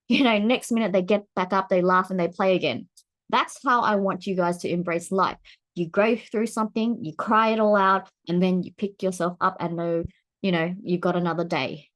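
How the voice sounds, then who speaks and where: A woman in her forties vlogging to camera in a casual, chatty way, laughing often, with her pitch swinging up when she gets excited. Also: moderate pace. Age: 40s.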